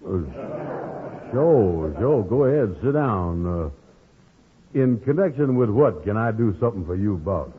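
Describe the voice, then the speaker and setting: An actor playing a slow-witted, lovelorn man with a hesitant, muddled way of talking in an old radio comedy. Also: fairly low pitch, lively intonation. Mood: muddled, wary. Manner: dim, hesitant, malapropisms. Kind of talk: 1950s radio comedy acting. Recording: noisy, phone-like old radio recording.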